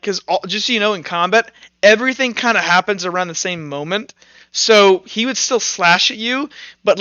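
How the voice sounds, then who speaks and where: High, bright-voiced male game master. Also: smooth, no echo, dull audio. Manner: informal, thinking out loud. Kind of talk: improvised tabletop game narration and rules talk.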